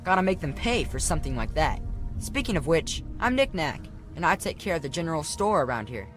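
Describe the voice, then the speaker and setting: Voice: mid-range voice, big pitch swings, fairly quick, faint music behind, close to an everyday voice. A performer playing a cocky, chatty child trader in a video game, brash, slangy and casual. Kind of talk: video game character acting.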